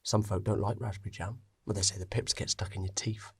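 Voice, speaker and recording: A man narrating a scripted story expressively, with dramatic pauses. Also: fairly low pitch, level tone, vocal fry, breathy edge.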